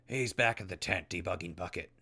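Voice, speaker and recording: A man's voice: low voice, fairly quick, gravelly, pushed and bright, heavily acted. A man playing a chatty, wry wasteland radio host in an audio drama, casual and easygoing, prone to asides. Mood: casual, persuasive. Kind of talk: radio drama acting with mock sponsor reads.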